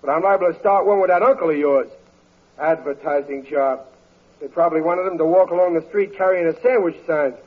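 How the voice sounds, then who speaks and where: A man's voice: a little high, fairly quick, a little hiss or hum, natural voice. An actor playing a grumbling but good-hearted middle-aged American working-class father, folksy and ungrammatical, in an old radio comedy. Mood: grumbling, sarcastic. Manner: folksy, ungrammatical, exasperated wisecracking. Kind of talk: 1940s radio comedy acting. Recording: muffled vintage radio recording.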